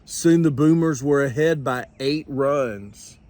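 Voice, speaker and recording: An older man reading a children's storybook aloud with expressive, deliberate storytelling. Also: mid-range voice. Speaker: grandparent storyteller. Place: outdoors.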